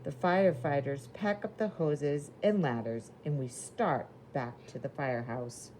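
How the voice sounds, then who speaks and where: A woman voicing a proud, eager little red fire engine in a children's storybook, with simple, expressive delivery. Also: deep voice, measured pace, a little hiss or hum, poor audio. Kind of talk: children's storybook character narration.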